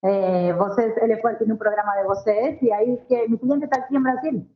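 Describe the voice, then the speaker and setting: Argentine lady, spanish native, kinda high voice, mixes portuguese and spanish, echoey muffled audio. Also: steady tone, a little fast. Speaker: guest, marketing professional. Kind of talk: casual conversation, mixing Portuguese and Spanish.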